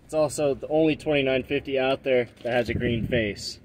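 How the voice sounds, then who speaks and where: A man giving a casual technical show-and-tell of his own gear, matter-of-fact and quietly proud. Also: mid-range voice, brisk. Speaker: truck owner showing his CB radio setup. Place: parking lot, in and around a pickup truck. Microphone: handheld phone or camera. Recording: noisy handheld outdoor and vehicle recording.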